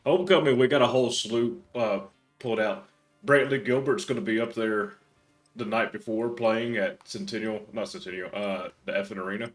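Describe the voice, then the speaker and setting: A young man chatting casually on a game stream, hoarse and congested from screaming. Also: fairly low pitch, moderate pace, faint music behind. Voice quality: croaky, congested. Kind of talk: casual chat while gaming.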